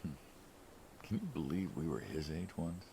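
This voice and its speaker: A man's voice: very deep voice, very animated, moderate pace, vocal fry, mellow, a little hiss or hum, heavily acted. A man playing a friendly, inquisitive, wryly skeptical middle-aged American mobster in naturalistic video game dialogue. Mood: reflective, easygoing.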